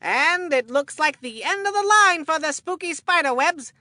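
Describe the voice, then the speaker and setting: A cheerful, forgetful old grandpa calling baseball in a kids' cartoon game. The voice is high and piercingly bright, recorded very dry and close. He rambles in a folksy, excitable way. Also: normal speed, no room sound. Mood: excited announcing. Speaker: sports commentator in a children's baseball game.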